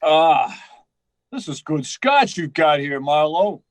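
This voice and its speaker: A man's voice: fairly high pitch, big pitch swings, slow, slight background noise. A man playing a cocky, blunt chauffeur sidekick in 1940s radio style, brash and slangy. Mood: easygoing.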